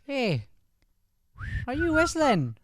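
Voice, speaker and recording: A man's voice: very high voice, huge pitch swings, slow pace, slight background noise, full character voice. Man playing a shy, naive Irish boy. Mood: friendly. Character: Cedric, shy solitary boy who likes humming. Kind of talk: improvised old-time radio play acting.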